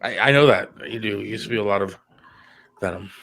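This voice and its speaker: A man's voice: on the low side, moderate pace, vocal fry, a bit bright. A man co-hosting a casual talk show, speaking in an earnest, slightly hesitant, peacemaking way.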